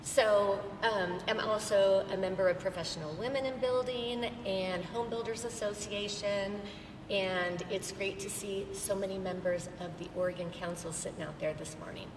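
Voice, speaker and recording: A woman's voice: moderate pace, recorded from a distance. A middle-aged American woman speaking informally as a panelist into an event microphone. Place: large event hall. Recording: echoey hall microphone with noise and rumble.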